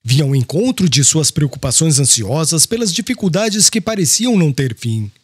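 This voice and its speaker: Expressive, higher-pitched male narrator. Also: moderate pace, breathy edge, no echo. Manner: formal, expressive. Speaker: audiobook narrator. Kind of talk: scripted literary narration.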